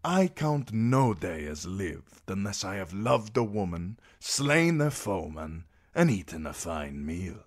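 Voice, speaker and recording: A man voicing a swaggering, flamboyant male sellsword captain, delivering lines with drawn-out theatrical flair. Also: sits low, very animated, full character voice. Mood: boastful.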